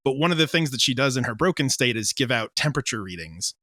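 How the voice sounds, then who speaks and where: A man's voice: medium pitch, expressive, fast, bright, forceful tone, dry recording, studio quality. A man chatting casually as an interview guest, telling stories in a self-deprecating way with quoted asides. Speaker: audio drama creator, interview guest.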